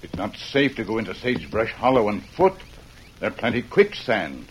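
A watchful, loyal scout from a radio western. His voice is fairly low, his phrasing terse and halting with clipped grammar. The old recording is clearly noisy. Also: big pitch swings. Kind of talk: 1940s radio western acting.

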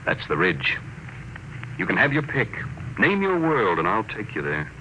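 An actor playing a shrewd, cocksure space tycoon with a wry, commanding manner in an old-time radio drama. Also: male voice, fairly low pitch, huge pitch swings, measured pace, bright, forceful tone, heavily acted, low-quality recording. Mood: amused, smug. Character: shrewd, brash, amused space-drive tycoon, a man. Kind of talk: 1950s radio drama acting. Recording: old radio recording with faint noise.

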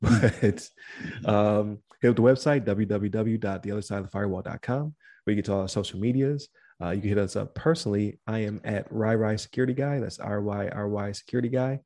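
Slightly low-voiced, tiring male podcast host. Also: a little fast. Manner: relaxed and rambling, many fillers, reads out the show's links at the close. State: voice starting to give out. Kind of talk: casual chat.

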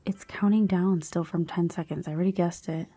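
A woman vlogging in a hushed half-whisper, slightly hoarse, reacting to quiz questions with bursts of excited disbelief. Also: lowish voice, a little fast. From Cuban family background. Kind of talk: casual chat, reading quiz questions aloud.

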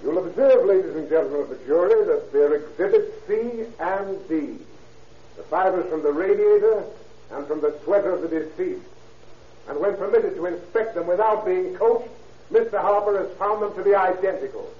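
An actor playing a formal, methodical prosecuting attorney in a declamatory 1930s radio style. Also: male voice, high voice, moderate pace, close to an everyday voice. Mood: formal, assured. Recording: roomy, distant, tinny old radio recording.

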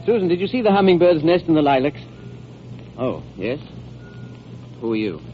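A man's voice: on the high side, sing-song, normal speed, soft background music. An actor playing a curt, unsociable father with clipped formal speech, in 1940s radio drama style. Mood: cold, curt. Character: curt, cold, unsociable father guarding his daughter. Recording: muffled, noisy old radio recording.

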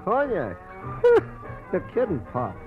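A man's voice: high voice, huge pitch swings, slow pace, over music, exaggerated voice. A man acting a cheeky, surly young bar waiter, flippant and mocking, in 1940s radio style. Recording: muffled old radio recording.

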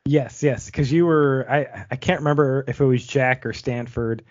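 A man's voice: mid-range voice, steady tone, normal speed, dry recording. A man hosting a podcast, reading out a countdown and thanking listeners in a casual chat. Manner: countdown announcing, earnest and thankful. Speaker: podcast host. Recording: dry, dull lo-fi sound.

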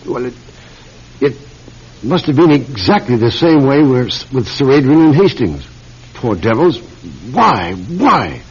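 A bewildered aristocratic British publisher in classic radio drama. His voice is husky and refined, halting as he gropes through his sentences. The old recording sounds dull and muffled. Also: medium pitch, sing-song, full character voice. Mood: shaken, distraught. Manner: faltering, hesitant, refined.

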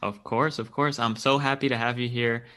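A man's voice: mid-range voice, steady tone, moderate pace, sharp tone, no echo, clean studio sound. A young man hosting a podcast, talking in an easygoing way with frequent restarts. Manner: easygoing, repeats words and restarts. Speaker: podcast host. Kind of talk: casual podcast chat.

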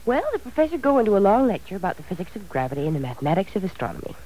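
An actress playing a dreamy, affectionate young wife who talks in a wistful, musing way, in 1940s radio style. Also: female voice, mid-range voice, sing-song, racing, mellow, a little hiss or hum, lightly acted, poor audio.

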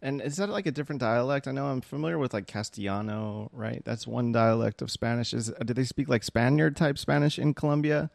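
A man interviewing a guest, asking hesitant questions with soft murmured acknowledgements. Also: medium pitch, fairly quick, creaky, hardly any pauses, no echo.

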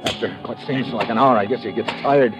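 An actor playing a tough, wisecracking American adventurer, clipped and sardonic, in hardboiled old-time radio style. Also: male voice, mid-range voice, a little fast, over music, lightly acted. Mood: tense narration. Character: tough, wisecracking male adventurer narrating his dangerous assignment. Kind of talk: 1950s hardboiled radio acting. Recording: dull vintage radio recording.

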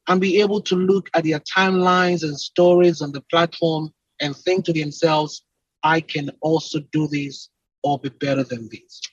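A man with a Nigerian accent talks at length in an interview, in a slightly high, husky voice. He explains things emphatically, stacking up repeated phrases in lists. The sound is dry and close, though a bit dull and lo-fi. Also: dry recording. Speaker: entrepreneur and company CEO, podcast guest.

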